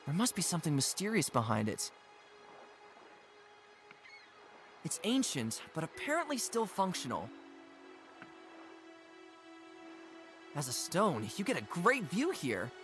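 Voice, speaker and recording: A woman's voice: sits low, huge pitch swings, fairly quick, over music. A woman voicing an earnest, curious young deer in a cartoon adventure game, musing aloud expressively.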